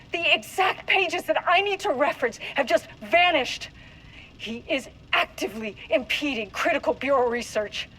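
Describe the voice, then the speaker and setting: An actress playing an obsessive, resentful and ruthless research scientist in a video game, scornful and intense. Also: female voice, high voice, a little hiss or hum, poor audio. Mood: seething, indignant. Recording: loud music behind the voice.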